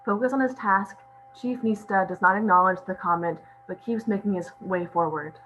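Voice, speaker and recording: A woman's voice: mid-range voice, normal speed, fairly bright tone, a little hiss or hum, low-quality recording. A woman reading stage directions as scripted narration at a table read.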